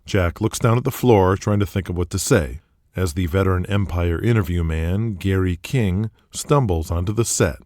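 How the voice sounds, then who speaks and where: A man's voice: sits low, normal speed, a little mellow, dry recording, studio quality. A man narrating a scripted audio drama.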